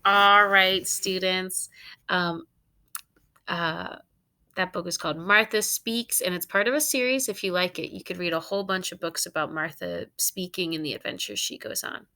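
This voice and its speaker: A woman teacher reading a story aloud to students in an expressive, instructive manner. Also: fairly low pitch, normal speed. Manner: expressive, instructive, addressing children.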